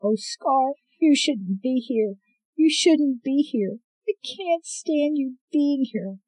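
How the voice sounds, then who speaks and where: A reader voicing a frail, injured old grandmother who speaks weakly and pleads through tears, pitched well above the reader's own voice. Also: expressive, slow pace, darkish tone, dry recording, rough recording. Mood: pleading weakly. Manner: weak, pleading, breaking.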